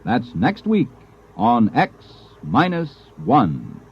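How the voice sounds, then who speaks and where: A man reading a scripted announcement in 1950s radio style, with a husky voice. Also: mid-range voice, wildly swooping pitch, takes it slowly, slight background noise. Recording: dull, dry vintage broadcast.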